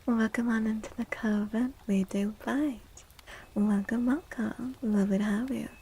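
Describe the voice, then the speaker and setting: A woman speaking softly and soothingly in a whispery, breathy voice, as an ASMR livestream host. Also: fairly high pitch, steady tone, slight background noise. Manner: gentle, soothing, unhurried. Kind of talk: soft-spoken ASMR, tarot reading and chatting with viewers.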